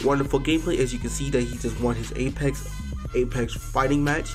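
A man's voice: medium pitch, fairly even intonation, a little fast, over music. An American man chatting casually in a slangy, informal way as he hosts a prank video. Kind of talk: casual vlog chat.

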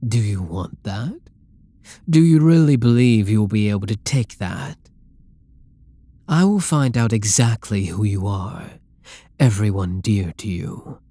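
Slow, husky man playing a menacing supervillain. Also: fairly low pitch, lively intonation, mellow, dry recording, heavily acted. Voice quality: breathy, husky. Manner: slow, menacing, possessive, often dropping to a whisper. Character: dominant, obsessive male supervillain taunting captured hero. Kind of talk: ASMR roleplay, scripted dramatic monologue.

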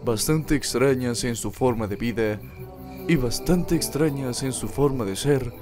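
A man with a Latin American accent delivers parody documentary narration. His mid-range voice keeps a mock-solemn tone with a crude streak. Music plays clearly underneath. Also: mid-range voice, unhurried. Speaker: narrator and fandubber. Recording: music loud behind narration.

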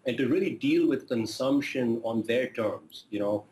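A man's voice: medium pitch, normal speed, darkish tone, echoey room, slight background noise, rough recording. A man, a sales executive, answering an interview question casually with many fillers. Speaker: sales vice president.

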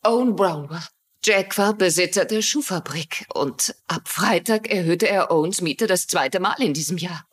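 An actress playing an anxious, vulnerable young mother under suspicion in a German-dubbed mystery drama, with a husky, earnest voice. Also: fairly low pitch, normal speed. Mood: earnest, accusing. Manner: earnest, fearful.

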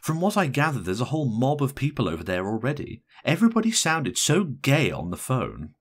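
A man plays an irritable, sarcastic woman from an audiobook story. The voice is lifted well above a low male register and moves around a lot in pitch. The manner is blunt, emphatic and dismissive. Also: wildly swooping pitch, a little fast. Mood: brisk, sardonic. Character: brash, irritable married woman, bluntly sarcastic matron of honour. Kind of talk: audiobook character voice.

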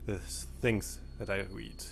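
A low-voiced man whose native tongue is German speaks slowly and haltingly, stopping mid-sentence before going on. Quiet game menu music plays faintly underneath. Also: little pitch movement, creaky. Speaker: let's play commentator. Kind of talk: game commentary with disclaimers.

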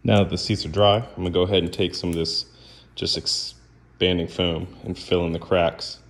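A man's voice: low-pitched, level tone, moderate pace. A man doing plain, step-by-step how-to narration for his do-it-yourself repair video. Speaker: DIY repair vlogger.

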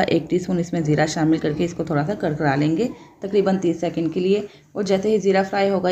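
A woman's voice: on the low side, dark tone. Patient woman teaching a recipe. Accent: Pakistani Urdu. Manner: patient, explains each step and its benefits. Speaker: cooking channel host. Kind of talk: step-by-step cooking instructions. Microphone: voice-over over hands-only cooking footage.

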